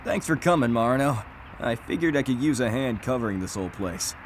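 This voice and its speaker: A man's voice: medium pitch, lively intonation, brisk, close to an everyday voice. Man acting jaded detective in a reverberant space. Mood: matter-of-fact. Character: jaded, matter-of-fact male police detective, plain-spoken. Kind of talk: audio drama acting. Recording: long hall reverb, background ambient noise.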